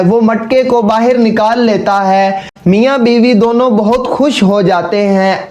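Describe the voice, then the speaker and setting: A man's voice: high-pitched, darkish tone. A man delivering scripted narration for a cartoon moral story.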